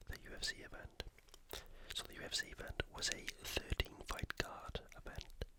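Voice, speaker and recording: An ASMR YouTuber speaks entirely in a breathy whisper, close to the microphone. The delivery is soft and unhurried, like a quiet sports rundown meant to relax.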